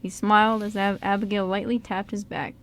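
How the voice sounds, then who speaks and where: A woman's voice: medium pitch, little pitch movement, a little fast. A woman reading scripted story narration for an amateur radio drama. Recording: some background noise and room ambience.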